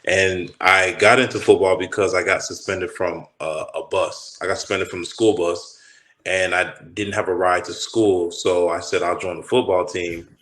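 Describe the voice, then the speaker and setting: A man from Texas with a low voice tells stories about his football days in a relaxed, rambling way, circling back and restarting his sentences. His voice carries the echo of the room he is in. He sounds like a former player who is a guest on an interview. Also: normal speed. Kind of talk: casual interview talk.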